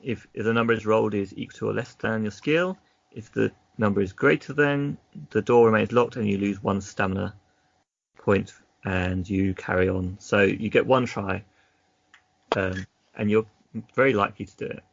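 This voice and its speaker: A man's voice: lowish voice, moderate pace, vocal fry, dry recording, highs cut off. A man narrating an adventure gamebook as game master, casually, breaking off into chatty asides.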